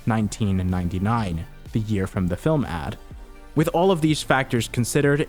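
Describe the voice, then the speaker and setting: A man with a mid-pitched voice narrates a scripted video essay over a music bed. He tells the story in a measured way. Now and then he slips in a wry, informal aside. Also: darkish tone.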